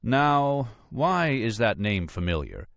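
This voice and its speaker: A man's voice: medium pitch, sing-song, slow, gravelly, fairly bright tone, no room sound, pitched above the performer's own voice, full character voice. A man voicing an irritable, self-important museum lawyer in an audiobook, brusque, pompous and dismissive. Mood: curt, suspicious. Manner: emphatic, pompous, impatient. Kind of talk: audiobook character dialogue.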